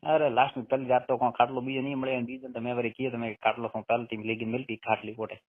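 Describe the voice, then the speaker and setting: A man's voice: mid-range voice, flat delivery, fast. A man with a Marwari accent from western Rajasthan chatting casually on a private phone call. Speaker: phone caller. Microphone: telephone line. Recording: tinny phone call recording.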